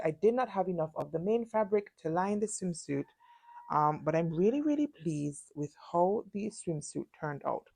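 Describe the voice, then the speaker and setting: A woman's voice: deep voice, a little mellow. A woman talking casually through her sewing project in a chatty, self-deprecating vlog. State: stuffy and congested. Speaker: sewing vlogger.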